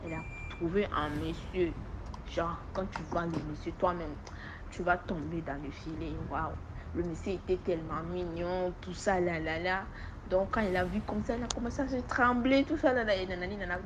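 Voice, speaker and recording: A woman from Togo with a West African accent, speaking French as an additional language and giving an informal testimony in an animated storytelling way. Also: fairly low pitch, noisy background. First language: not French. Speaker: testimony giver. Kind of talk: informal spoken personal testimony.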